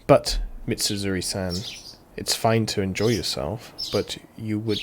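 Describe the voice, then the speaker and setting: A man's voice: fairly low pitch, steady tone, measured pace. A man reading game dialogue aloud as a let's-player, adding casual asides, with birds chirping behind him. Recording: noisy home recording, birds chirping.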